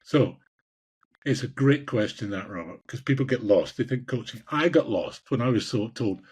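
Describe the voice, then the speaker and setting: A Scottish man in his sixties with a husky voice, answering interview questions in a passionate, emphatic way and repeating phrases for emphasis. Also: medium pitch, expressive, normal speed, darkish tone. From Scotland. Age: 60s. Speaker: executive coach, podcast guest.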